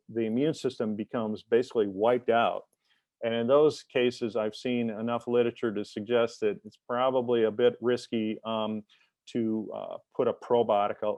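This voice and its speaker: A man giving a technical webinar presentation as an invited expert, talking in a halting way with many fillers and restarts. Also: on the low side, darkish tone, no room sound, muffled recording. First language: English.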